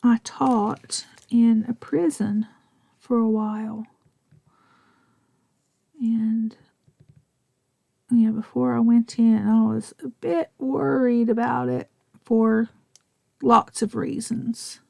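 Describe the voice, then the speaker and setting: A middle-aged Southern woman chats and rambles slowly while she crafts, her voice gravelly and mellow. She drifts into half-whispers and pokes fun at herself. The sound is close and dry. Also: on the high side, no room sound. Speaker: crafting vlogger. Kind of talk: chatty craft tutorial narration.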